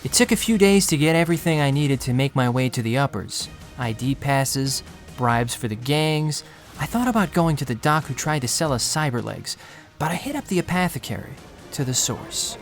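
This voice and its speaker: An actor playing a poor, anxious young man who narrates his own story in a quiet, melancholy, self-critical way. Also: medium pitch, animated, moderate pace, few pauses, soft background music, natural voice. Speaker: narrator and lead character. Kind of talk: first-person audio drama narration.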